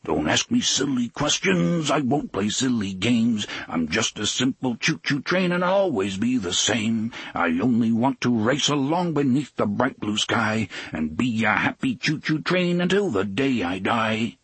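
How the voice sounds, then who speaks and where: Man voicing a gruff old singing steam train. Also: mid-range voice, big pitch swings, measured pace, hardly any pauses, no room sound, heavily acted. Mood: contented. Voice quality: gruff, soft. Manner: sing-song rhyme.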